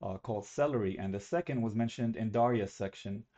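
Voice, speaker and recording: A man's voice: on the low side, a little fast, warm tone. A young man from Algeria giving a recorded student presentation on a webcam, talking casually and correcting himself as he goes. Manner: casual, self-correcting, slightly rambling.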